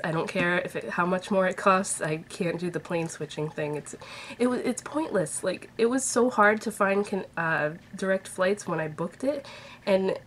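A woman in her thirties talks to her webcam at home, her voice stuffy from a head cold. She rambles in a chatty, upbeat way, sitting a bit low in pitch. A faint hiss sits under the recording. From Massachusetts. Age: 30s. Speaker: vlogger. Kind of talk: casual chat to camera. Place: bedroom at home, indoors. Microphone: webcam at desk distance.

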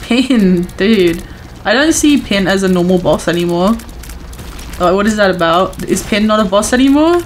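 A woman chatting casually while playing a video game, her voice a little hoarse from talking too much. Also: medium pitch, expressive, normal speed, a little hiss or hum. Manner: chatty, self-reassuring, talks to viewers. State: voice going hoarse from overuse. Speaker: gaming streamer. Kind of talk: casual gameplay commentary.

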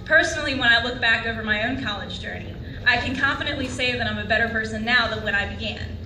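A woman's voice: on the high side, fast talker, pushed and bright, a little hiss or hum. A young woman delivering an earnest commencement speech from a lectern on an auditorium stage, asking the audience questions. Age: young adult. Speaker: graduation speaker. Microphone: lectern microphone. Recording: echoey hall from far off.

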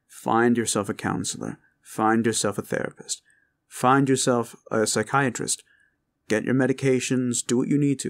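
A man's voice: mid-range voice, vocal fry. A man speaking informally and earnestly to his listeners as an audio creator, in a reassuring way. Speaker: audio roleplay creator and host. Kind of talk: informal heartfelt address to listeners.

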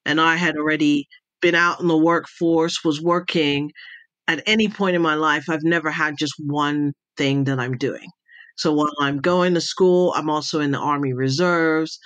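Woman, mixed American-British accent, bright, animated storytelling, dry video-call sound. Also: low voice, normal speed, no room sound. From Jamaica. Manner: laughs often, animated storytelling. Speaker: interview guest. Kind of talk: casual conversational storytelling.

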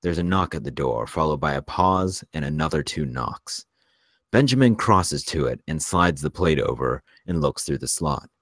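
A man's voice: extremely low pitch, expressive, normal speed, fairly bright tone, no echo, clean studio sound. A man narrating the stage directions of a screenplay at a table read.

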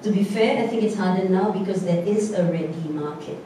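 A woman's voice: medium pitch, fairly quick. A woman actor speaking on a post-show panel, giving earnest, frank advice. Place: theatre stage. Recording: echoey room, distant mic, noisy.

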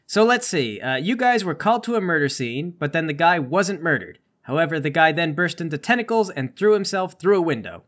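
Lively, higher-voiced man on a podcast. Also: brisk, hardly any pauses. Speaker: podcast player. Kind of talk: casual comic banter and tabletop gaming.

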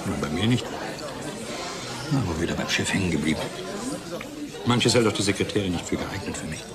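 Man playing a low, weary research scientist. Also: animated, a little fast, over a noisy crowd. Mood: weary, resigned. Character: defensive, world-weary male research scientist. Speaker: actor in an audio drama. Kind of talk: dialogue radio-play acting.

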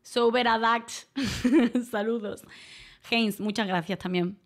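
A woman from Málaga, a streamer and voice-over artist, chatting casually with her viewers, grateful and quick to laugh. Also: a little high. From Málaga, Spain. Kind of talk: casual livestream chat reading donations.

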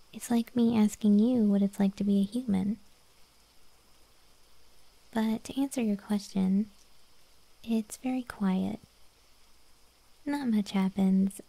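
A woman playing a gentle, lonely young gargoyle guardian in an ASMR roleplay, speaking in a soft, breathy, intimate whisper. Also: medium pitch, dry recording, low-quality recording. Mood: wistful, calm.

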